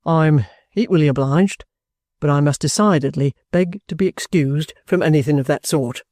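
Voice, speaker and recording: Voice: medium pitch, moderate pace, darkish tone, no room sound, clean studio sound, voice pushed down, natural voice. A reader voicing a bluff, stubborn ex-soldier in an audiobook, courteous, plain-spoken and offhand. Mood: polite, firm. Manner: respectful, offhand, self-possessed.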